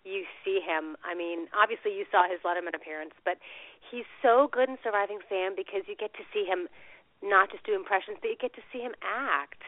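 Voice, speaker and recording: A woman's voice: mid-range voice, fairly quick, no room sound. Woman guest, cold-stuffed and nasal, bubbly and chatty, thin dry phone line. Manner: bubbly, laughs often, repeats words. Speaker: guest actress. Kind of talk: casual phone interview. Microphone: phone or call line.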